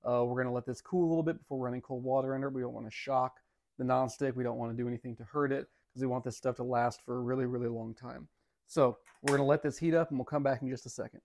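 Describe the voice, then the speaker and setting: A man's voice: mid-range voice, fairly even intonation, fast talker, gravelly, darkish tone. An American man in his thirties giving a casual, upbeat product review and demo to camera in an easygoing, folksy way. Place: home dining room and kitchen.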